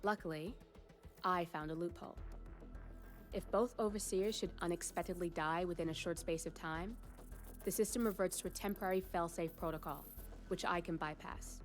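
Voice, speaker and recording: A woman plays a composed handler laying out a mission in a measured, dry tone. Her voice sits slightly low, with game music clearly running beneath the lines. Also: lightly acted. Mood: cool. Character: composed female handler giving a mission briefing. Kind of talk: game cutscene briefing. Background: cutscene music.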